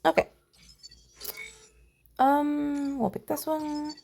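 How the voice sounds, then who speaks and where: A woman with a fairly high voice and a noticeable creak. She chats casually through a game playthrough, reading text aloud and adding mild, playful exclamations. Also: flat delivery, drawn-out words, a little mellow, faint music behind. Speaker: gamer voicing a playthrough.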